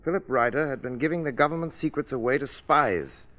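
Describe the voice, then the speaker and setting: A muffled vintage radio recording carries a shrewd gentleman sleuth played by a male actor. He explains matters with calm assurance, in a middle-pitched voice, as one who keeps a secret. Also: fairly quick, close to an everyday voice. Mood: calm, certain. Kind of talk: old-time radio drama acting.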